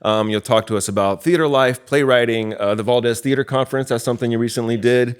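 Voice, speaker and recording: A man's voice: medium pitch, steady tone, fast, clean tone. A man hosting a podcast, chatting casually with a guest and introducing a show. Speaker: podcast host.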